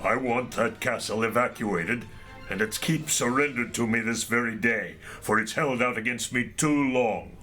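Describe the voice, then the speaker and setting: A menacing, haughty knight from a medieval radio play, acted by a man. His voice is sharp and forceful at an ordinary male pitch, and he declaims in archaic, formal language over faint music. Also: normal speed, close to an everyday voice. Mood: demanding. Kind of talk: audio drama acting of medieval romance.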